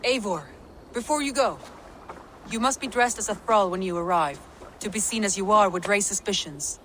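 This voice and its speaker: A woman acting as a pragmatic Viking adviser speaks in an average-pitched, composed voice with faint water noise around it. She sounds matter-of-fact, a steady counsellor in a game drama. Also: normal speed, natural voice. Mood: cautioning.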